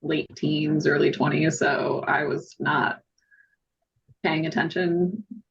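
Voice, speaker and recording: Low-voiced woman chatting, slightly lo-fi. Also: fairly even intonation, slow pace, creaky, breathy edge. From southern Utah, United States. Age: 30s. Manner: hesitant, piecemeal phrasing with constant "like". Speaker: podcast guest. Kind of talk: casual chat.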